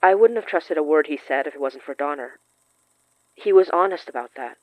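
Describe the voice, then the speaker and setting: A woman acting in an audio drama as a wary, thoughtful occult expert who explains things in an earnest, measured way. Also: low-pitched, lively intonation, no room sound, muffled recording. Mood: earnest, cautious.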